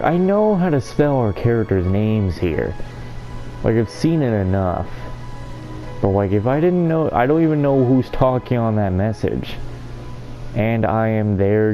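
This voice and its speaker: A low, mellow male voice hosting a casual game playthrough. He chats offhandedly and reads dialogue aloud drily, with faint game music behind him. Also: highs cut off. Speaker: gaming video host. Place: at home, sitting on a bedroom floor.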